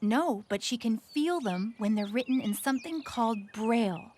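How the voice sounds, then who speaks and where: A woman playing a patient, encouraging teacher in an educational children's cartoon, explaining things gently. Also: a little high, expressive, normal speed, a little hiss or hum, close to an everyday voice. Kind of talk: animated educational series dialogue.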